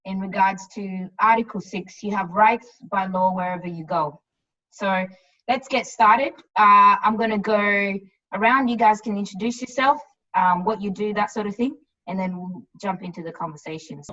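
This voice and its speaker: A woman's voice: mid-range voice, normal speed, distant mic. Moderator, female, from Burundi; easygoing, encouraging, heard off-mic on a dull recording. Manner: relaxed, encouraging, hands the floor around. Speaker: discussion moderator, youth programs coordinator. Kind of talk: hosting a panel discussion.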